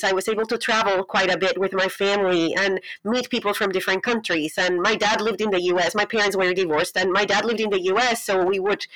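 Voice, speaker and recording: A woman's voice: mid-range voice, fairly bright tone, dry recording, rough recording. A woman from Colombia with a Colombian Spanish accent, speaking as a podcast guest in a frank, thoughtful way with wry humor. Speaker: guest, pastor. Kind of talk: podcast interview.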